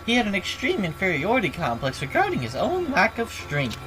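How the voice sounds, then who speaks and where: A woman's voice: mid-range voice, huge pitch swings, music in the background, low-quality recording. Woman putting on a swooping, taunting villain bear. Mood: sly storytelling. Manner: theatrical, mocking, drawn-out announcements. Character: gleeful, taunting robotic bear villain, sly storyteller. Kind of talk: dubbing video game dialogue aloud.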